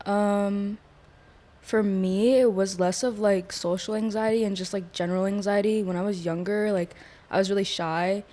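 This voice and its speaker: A woman's voice: mid-range voice, fairly even intonation, normal speed, dark tone. A young woman from Virginia co-hosting a podcast, telling a story in a chatty way. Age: young adult. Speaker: podcast co-host. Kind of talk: casual chat.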